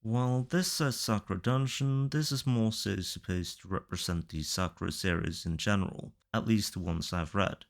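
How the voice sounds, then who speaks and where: A man giving casual opinion commentary through a ranked list in a matter-of-fact, measured way. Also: on the low side, vocal fry. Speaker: video reviewer.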